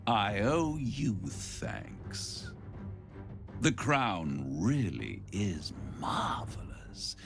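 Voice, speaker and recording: A suave game devil, very low and gravelly. He savours his bargains in a silky, grandiose manner that swoops from menace to gloating theatrics, all over a musical score. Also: sing-song, very slow, over music, full character voice. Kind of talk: dramatic video game acting.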